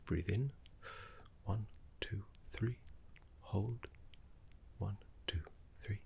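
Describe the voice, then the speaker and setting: A man voicing a gentle, scholarly necromancy teacher in an ASMR roleplay, soft, breathy and half-whispered, with soothing, genteel phrasing. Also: lowish voice, extremely slow, slight background noise, full character voice. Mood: soothing, instructive. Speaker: teacher guiding a student.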